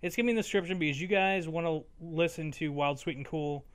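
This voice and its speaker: A young man hosting casually, excitable, slangy and easily distracted. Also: fairly high pitch, quick pace, smooth. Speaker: host of a fan fiction reading channel. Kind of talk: casual banter introduction.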